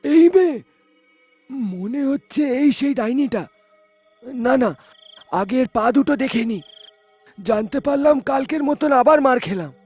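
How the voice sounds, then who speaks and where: A performer plays a cowardly, greedy rickshaw man in a cartoon folktale. The voice is high and whining, full of exaggerated pleading. Light music sits behind it. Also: lively intonation, a little slow, pauses often, a little mellow, slightly rough, exaggerated voice. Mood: scheming. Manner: whining, wailing pleas.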